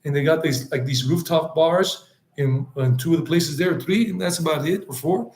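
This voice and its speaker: A man's voice: fairly high pitch, moderate pace, a little mellow, echoey room. A man hosting a casual live stream, chatting in a rambling way, answering viewer comments and dropping in Spanish words. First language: English.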